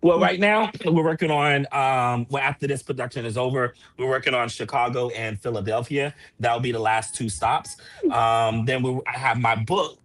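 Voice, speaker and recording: A man's voice: medium pitch, normal speed, fairly bright tone, no echo. A man who produces stage plays, speaking casually as an interview guest to promote his work.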